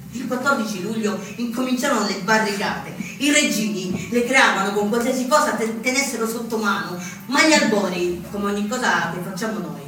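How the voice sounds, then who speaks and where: Wry anarchist storyteller, echoing stage. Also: male voice, high-pitched. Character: male anarchist from Reggio, wry storyteller recalling a revolt. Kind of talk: theatrical monologue. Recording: very echoey stage, distant mic, noisy.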